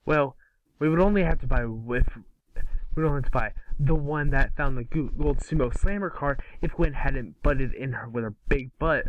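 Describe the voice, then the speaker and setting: A man's voice: medium pitch, normal speed, highs cut off, a little hiss or hum, lightly acted. A man voicing a petulant, sarcastic young boy hero obsessed with his hobby, in an amateur cartoon table read. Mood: sulky.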